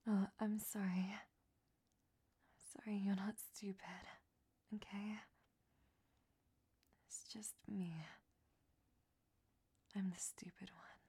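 Breathy young-sounding woman whispering close, slow and mellow, bossy-tender roleplay read. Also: mid-range voice, level tone. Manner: hushed whispering, tsundere scolding turning tender. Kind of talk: whispered audio roleplay monologue.

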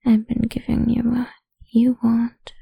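A woman's voice: fairly high pitch, completely flat delivery, unhurried, vocal fry, mellow, breathy edge, dry recording. A woman performing an intimate audio roleplay, mostly whispering close to the microphone in a dominant, teasing manner.

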